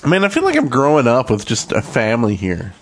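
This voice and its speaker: A man's voice: mid-range voice, wildly swooping pitch, fairly quick, faint music behind. A man co-hosting a podcast in casual banter, with a wry, teasing way of talking. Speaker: podcast co-host.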